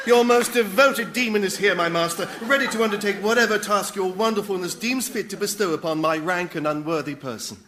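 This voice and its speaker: An actor playing a fawning, dim, soft-hearted demon servant in a radio comedy. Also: male voice, high voice, a little fast, few pauses, crowd noise, close to an everyday voice. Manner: fawning, obsequious. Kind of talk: broadcast radio comedy acting.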